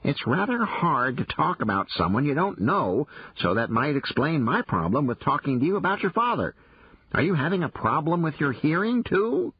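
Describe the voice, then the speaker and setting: A man voicing a small, cranky male forest creature who snaps and bickers in a high, pinched voice. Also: very animated, husky, dry recording, dull audio, exaggerated voice. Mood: irritable. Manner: irritable, snappish, quick to bicker. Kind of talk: audiobook character voice.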